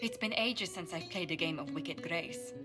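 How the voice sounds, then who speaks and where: A woman's voice: lowish voice, moderate pace, over music, close to an everyday voice. A woman playing a genteel, playful lady ambassador in a video game, speaking politely and coyly. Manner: polite, coy, formal. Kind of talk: video game cutscene acting.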